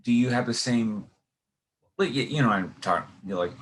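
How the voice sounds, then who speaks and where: A man's voice: mid-range voice, moderate pace. A man with a Brooklyn accent hosting a podcast, asking long, rambling questions and restarting his sentences often. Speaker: podcast host. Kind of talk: casual podcast interview.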